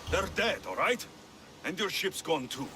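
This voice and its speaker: An actor playing a confident, direct ancient Greek mercenary with a dry manner in a video game cutscene. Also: male voice, high-pitched, lively intonation, unhurried. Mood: reluctant, grave.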